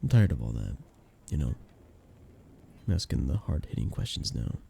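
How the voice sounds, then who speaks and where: A young man acting a flustered best friend with a hidden crush in an intimate ASMR romance roleplay, soft-spoken, casual and hesitant. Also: very deep voice, sing-song, moderate pace, creaky, a little hiss or hum, heavily acted. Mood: weary, candid.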